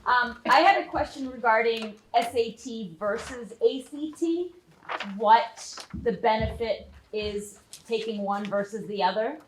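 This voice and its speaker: Loud audience member asking questions. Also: female voice, fairly high pitch, a little slow, slight background noise. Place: school auditorium. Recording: echoey hall.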